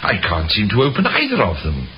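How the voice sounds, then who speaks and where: A man's voice: on the low side, huge pitch swings, brisk, highs cut off. Fussy, stammering little worried man. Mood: anxious, apologetic. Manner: hesitant, stammering, very polite. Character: worried little suburban insurance agent, fussy and apologetic. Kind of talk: 1950s radio drama acting. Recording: noisy vintage 1950s radio recording.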